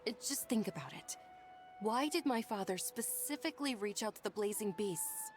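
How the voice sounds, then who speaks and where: A woman voicing a gentle, thoughtful young noblewoman in a game, soft-spoken and earnest. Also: mid-range voice, animated, normal speed, faint music behind, close to an everyday voice. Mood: calm. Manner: soft-spoken and earnest, with hushed breathy moments.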